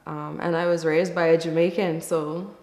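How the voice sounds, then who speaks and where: A woman from the Bahamas giving casual, reflective interview answers in a thoughtful, candid way, with pauses as she thinks. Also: deep voice, little pitch movement, a little mellow. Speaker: interview guest, musician. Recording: echoey, reverberant room.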